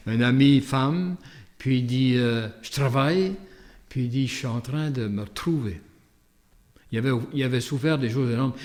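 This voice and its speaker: A man's voice: mid-range voice, a little mellow. A man with a Canadian French accent, a priest speaking in a reflective, conversational storytelling way with hesitant asides as he gives a homily. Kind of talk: gospel reading and conversational sermon with anecdote.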